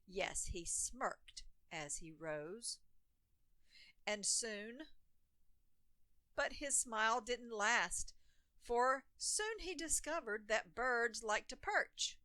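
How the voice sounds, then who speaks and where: A woman's voice: a little high, animated, very slow, pushed and bright, no echo. A woman reading a rhyming children's picture book aloud in a theatrical, emphatic storytelling manner.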